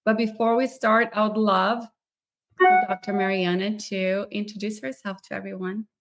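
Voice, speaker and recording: A woman hosting a live podcast interview, upbeat and talking quickly in long runs. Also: medium pitch, level tone, faint music behind. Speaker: podcast host.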